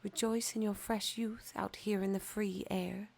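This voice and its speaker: A woman voicing the breeze and sunshine in a storybook, softly urging the tree. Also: medium pitch, level tone, measured pace, gravelly, pitched above the performer's own voice. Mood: soothing. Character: gentle breeze and sunshine, soothing.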